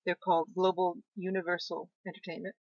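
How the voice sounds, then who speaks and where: A woman's voice: fairly low pitch, little pitch movement, brisk, dry recording. Enthusiastic woman guest, lo-fi sound. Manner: enthusiastic, talks with frequent restarts. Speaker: guest author and screenwriter. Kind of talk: animated interview conversation. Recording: dull lo-fi dry sound.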